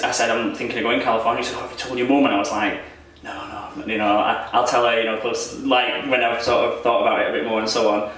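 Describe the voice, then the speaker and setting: A man's voice: medium pitch, a bit bright. A young man chatting casually in an interview, rambling and self-deprecating, laughing often. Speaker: wrestler interviewee. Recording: echoey room, voice from far off.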